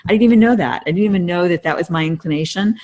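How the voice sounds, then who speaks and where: A woman's voice: fairly low pitch, racing, warm tone, muffled recording. A middle-aged woman co-hosting a podcast, giving casual conversational advice in a reflective, explanatory way. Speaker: podcast co-host.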